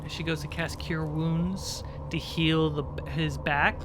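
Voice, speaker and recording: A man with a fairly high voice runs a tabletop game as game master. He talks slowly, in short halting phrases, with faint noise behind him. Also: level tone. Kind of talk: improvised tabletop game narration. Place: indoor livestream.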